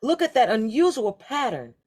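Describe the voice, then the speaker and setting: A woman's voice: a little high, sing-song, normal speed, darkish tone, pitched above the performer's own voice. A woman voicing an admiring villager in a storybook market, exclaiming with emphasis. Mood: amazed.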